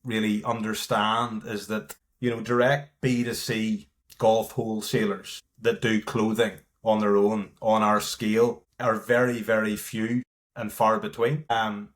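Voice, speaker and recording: A man's voice: lowish voice, flat delivery, unhurried. Casual Northern Irish man. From north coast of Northern Ireland. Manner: informal, frank, peppered with "you know" and "like". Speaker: company partner and marketing lead, podcast guest. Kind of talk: casual interview talk.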